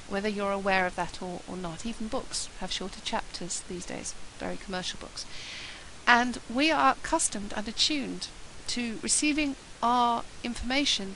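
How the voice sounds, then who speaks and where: A woman with a husky voice from a flu talks in a chatty, upbeat way, restarting a phrase now and then. Her voice sits at an ordinary middle pitch. A faint hiss runs under the recording. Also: a bit bright, poor audio. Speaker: marketing presenter. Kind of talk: solo talk to camera.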